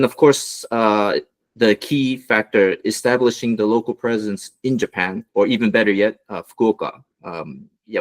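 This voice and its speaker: A man from Los Angeles giving an online business presentation as a guest mentor, with a relaxed delivery and many fillers. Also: on the low side. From United States, Los Angeles.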